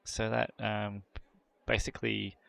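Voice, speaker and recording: A man hosting a game video, thinking aloud through character choices with frequent fillers and throat clearing. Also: fairly low pitch, level tone, unhurried, fairly bright tone. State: voice a bit worn from a long previous session. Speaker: gameplay channel host. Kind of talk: casual gameplay commentary.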